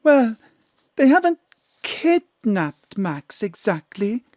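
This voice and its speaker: Seductive gangster's widow, highish voice, flirtatious, melodramatic, comic noir radio play, dry recording. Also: female voice, big pitch swings, slow, darkish tone, no echo. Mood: earnest. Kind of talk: noir-parody audio drama acting.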